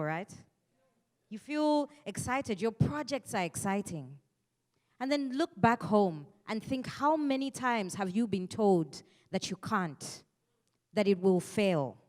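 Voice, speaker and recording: A young woman from Zambia giving a rousing speech to a gathering, full of rhetorical questions and building to loud climaxes. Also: medium pitch. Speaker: speaker addressing a fellowship gathering.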